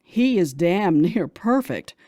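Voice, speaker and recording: Woman narrating fiction, lowish voice, close and dry. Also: big pitch swings, slow, mellow, dry recording. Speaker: audiobook narrator. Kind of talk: first-person scripted audiobook narration of a romance novel.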